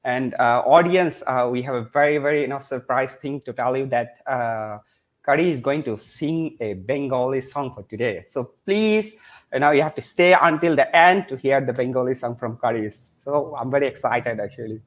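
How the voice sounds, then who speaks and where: A man's voice: mid-range voice, normal speed. A man hosting an online panel, full of fillers like "uh" and "you know", now and then switching into Bengali. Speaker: host.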